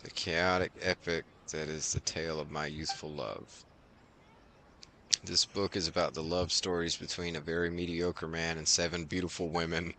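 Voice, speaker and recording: A man's voice: deep voice, little pitch movement, moderate pace, sharp tone, a little hiss or hum. A man streaming a video game and reading its text aloud.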